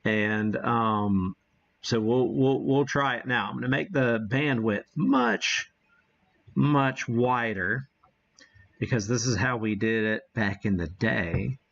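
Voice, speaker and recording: A man hosting a casual, unscripted live radio-hobby demo, thinking aloud in a halting way as he works the equipment. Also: medium pitch, gravelly, a bit bright, muffled recording. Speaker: livestream host, amateur radio operator.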